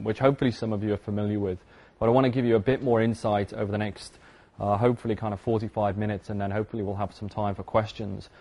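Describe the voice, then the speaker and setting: A man's voice: lowish voice, darkish tone. A man from the UK with a British accent, giving a conference talk in a loose, conversational way with many fillers and restarts. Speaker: conference speaker. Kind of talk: presentation talk.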